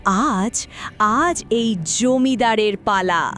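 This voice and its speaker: A woman's voice: a little high, fairly bright tone. A woman playing the vengeful ghost of a wronged young village woman in an audio horror story, accusing and dramatic. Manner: accusing, dramatic, slow and drawn out.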